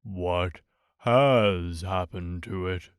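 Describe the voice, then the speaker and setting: A man's voice: deep voice, smooth, no room sound, studio quality, voice pushed down. A man voicing a gloomy, mournful donkey in a storybook, drawing out his words in a despondent way. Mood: gloomy, resigned. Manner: drawn-out, despondent, sighing delivery. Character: gloomy, slow, mournful male old donkey.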